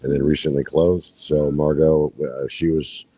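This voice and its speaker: A man, the new owner of a resort, speaking briskly and matter-of-factly as an interview guest. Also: very deep voice, flat delivery, mellow, breathy edge, dry recording. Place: outdoor resort.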